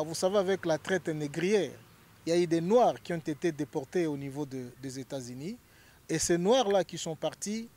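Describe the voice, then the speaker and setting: A man's voice: fairly high pitch, a little mellow, a little hiss or hum. A man from Congo, a lawyer, explaining his association in an interview, hesitant with many fillers and growing more emphatic.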